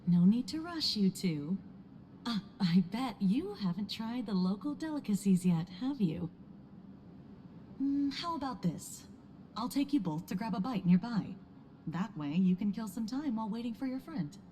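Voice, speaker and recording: A woman's voice: medium pitch, animated, normal speed, mellow, slight background noise, lightly acted. A woman playing an easygoing, welcoming female guide in a video game, casual and reassuring. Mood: friendly, reassuring. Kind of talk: scripted video game voice acting.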